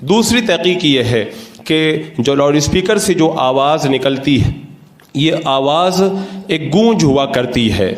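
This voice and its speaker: Higher-voiced male cleric with echo. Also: lively intonation, a little slow. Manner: didactic, reasons step by step with rhetorical questions. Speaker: Islamic cleric giving a religious ruling. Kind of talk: religious lecture, explanatory sermon. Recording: echoey, reverberant voice recording.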